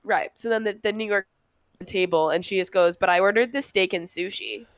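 A young woman in mid-range pitch co-hosts a casual podcast, talking bluntly and swearing freely as she tells animated stories. Her voice sits close on the mic in a dry, deadened room. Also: brisk, no echo. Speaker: podcast co-host. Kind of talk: casual chat.

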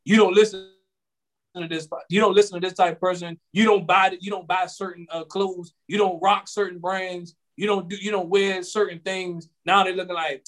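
A man in his late twenties holds forth on a podcast in a relaxed, slangy ramble. He restarts his sentences and circles back over the same points. His voice sits high for a man. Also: normal speed. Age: 20s. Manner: rambling, repeats himself, slangy. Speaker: podcast co-host. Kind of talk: casual podcast chat.

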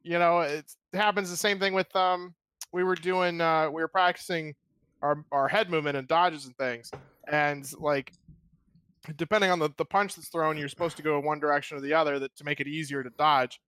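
A man's voice: fairly high pitch, fairly even intonation, fairly quick, a bit bright, clear, resonant tone, dry recording. A man co-hosting a podcast, telling a rambling story and laughing often. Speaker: podcast co-host. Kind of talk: casual chat.

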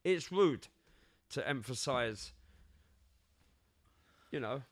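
Fifties London man, co-host, animated chatty delivery, dry close sound. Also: mid-range voice, very slow, no echo. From England. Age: 50s. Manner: animated, repeats words while thinking, swings loud and soft. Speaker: podcast co-host. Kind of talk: casual chat.

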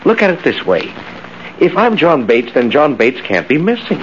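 An actor playing a smug, silky blackmailer with a suave, mock-friendly manner, in an old radio drama. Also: male voice, fairly high pitch, very animated, normal speed. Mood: sly, menacing. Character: smug, silky male blackmailer posing as business partner. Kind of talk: 1940s radio drama acting. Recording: muffled, hissy old radio recording.

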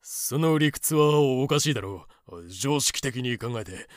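A man playing a streetwise, deadpan adult male cockroach who reasons wryly in a comedic audio drama. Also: mid-range voice, a little slow, dry recording, studio quality, natural voice. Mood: wry protest.

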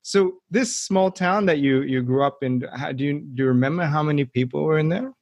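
Male podcast host, friendly and unpolished, bright-ish pitch, dry close mic. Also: fairly quick, no echo, dull audio. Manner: friendly, with frequent fillers and restarts. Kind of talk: podcast interview.

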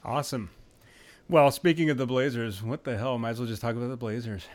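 A man's voice: mid-range voice, brisk. A man hosting a casual podcast, joking and laughing easily as he chats with his guest. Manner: joking, laughs often, expressive. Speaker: podcast host.

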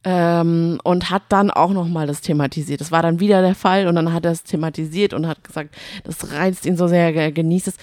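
A woman's voice: lowish voice, fairly even intonation, a little fast, hardly any pauses, a little mellow, no echo, studio quality. A woman co-hosting a casual podcast, chatty and teasing in manner. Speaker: podcast co-host.

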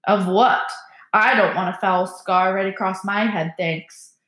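A woman's voice: mid-range voice, fairly even intonation, measured pace, fairly bright tone, roomy sound, lightly acted. A woman voicing a spoiled schoolboy bully in a storybook, with scathing, mocking, sneering taunts. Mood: sneering, smug.

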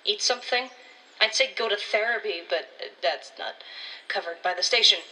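A woman's voice: mid-range voice, normal speed, pushed and bright, recorded from a distance, close to an everyday voice, rough recording. A woman playing a blunt, condescending ranger colleague in an audio drama, talking in a world-weary, slangy way. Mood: concerned, lecturing.

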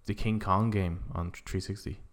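A man's voice: low-pitched, fairly even intonation, moderate pace. A man co-hosting a podcast, talking informally about video games and often saying "like". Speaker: podcast co-host.

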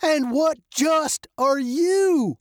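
A storybook narrator voicing an old male mountain wanderer, a grandfather given to emphatic, preachy spiels and quick to take offense. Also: high voice, big pitch swings, no room sound, clean studio sound, voice pushed up. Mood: indignant, preachy.

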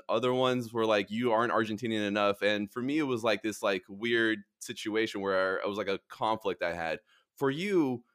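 A man's voice: medium pitch, fairly bright tone, clear, resonant tone. A man hosting a podcast interview, laid-back and jokey, laughing often as he chats. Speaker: podcast host. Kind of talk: casual interview chat.

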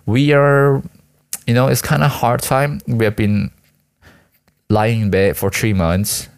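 A man's voice: mid-range voice, fairly even intonation, takes it slowly, vocal fry, smooth. A young man from Malaysia, a student, rambling casually in a hesitant, self-deprecating, slangy way. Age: teens to young adult, school student. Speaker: student vlogger and aspiring actor.

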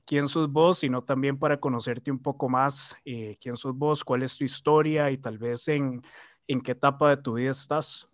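A man's voice: medium pitch, steady tone, normal speed, dry recording, studio quality. A man with a Costa Rican accent hosting a podcast, asking curious questions in a casual chat. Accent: Costa Rican Spanish. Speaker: podcast host.

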